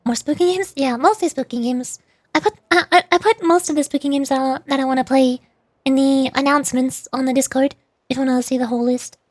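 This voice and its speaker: A woman's voice: sits high, steady tone, fast talker, dry recording. A woman livestreamer chatting casually to viewers, rambling and thinking aloud as she goes.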